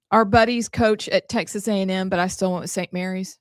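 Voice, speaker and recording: Animated, chatty woman co-host. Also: mid-range voice, dry recording. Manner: animated, chatty, quick to laugh. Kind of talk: casual chat.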